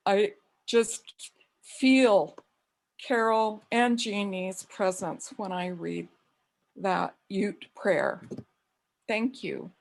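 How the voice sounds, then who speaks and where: A woman from Wyoming speaks at a memorial over a video call, very slowly and deliberately. Her delivery is even and, in her own words, monotone, unhurried as she reads a prayer aloud. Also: mid-range voice. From Wyoming, USA. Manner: slow, calls own voice monotone. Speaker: mourner giving a tribute. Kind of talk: memorial remembrance and reading a prayer.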